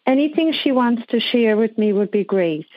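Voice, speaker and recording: A woman phones in to a show and talks casually. Her voice is fairly high. The sound is very dry and slightly dull, like a lo-fi call. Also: animated, normal speed, no echo. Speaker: caller to a radio-style show. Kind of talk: casual conversation.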